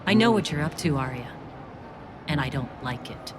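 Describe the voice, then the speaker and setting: A woman's voice: a bass voice, expressive, normal speed, noisy background. A woman playing a principled, protective alien militia leader in a video game, firm, terse and wary. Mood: disapproving. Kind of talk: cinematic video game acting.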